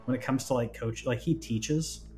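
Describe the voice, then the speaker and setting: A man's voice: mid-range voice, moderate pace, darkish tone, soft background music. A man talking casually as a podcast host, rambling and often restarting his phrases. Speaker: podcast host on a gaming news show. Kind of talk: casual conversational chat.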